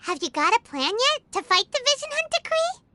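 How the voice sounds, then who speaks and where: A woman voicing an excitable little female companion creature in a video game, who speaks of herself in the third person with a bubbly manner. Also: very high-pitched, brisk, faint music behind, full character voice. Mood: curious chirpy.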